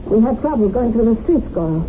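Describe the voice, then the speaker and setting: A woman's voice: fairly high pitch, animated, a little fast, close to an everyday voice. An actress playing a zealous young woman kidnapper, stern and formal in her commands, in a 1970s radio drama. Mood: brisk, defensive. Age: young adult. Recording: tinny, noisy old radio broadcast.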